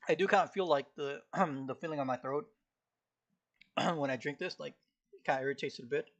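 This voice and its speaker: A man with a slightly high voice talks to himself at an easy pace as he studies live on stream. He keeps clearing his throat because it feels irritated, and his delivery stays laid-back as he recites notes from memory. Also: a little fast. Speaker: streamer studying a textbook. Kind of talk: casual live-stream self-talk while studying.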